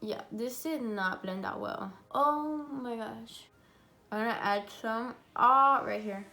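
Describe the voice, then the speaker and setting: A young woman with a raspy, congested voice from a cold, chatting bubbly through a makeup tutorial at home. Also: fairly high pitch, takes it slowly, pushed and bright. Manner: chatty and bubbly, many fillers. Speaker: beauty vlogger. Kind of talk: casual makeup tutorial chat.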